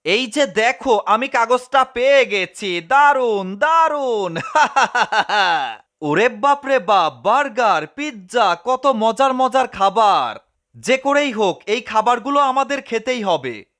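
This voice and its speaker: Shrill, greedy cartoon cat. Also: male voice, sing-song, slow, heavily acted. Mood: excited, greedy. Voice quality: high-pitched, sharp. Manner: exclamatory, melodramatic pleading and gloating. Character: greedy mischievous male cartoon cat, gloating and whiny. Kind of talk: fan-dubbed cartoon comedy.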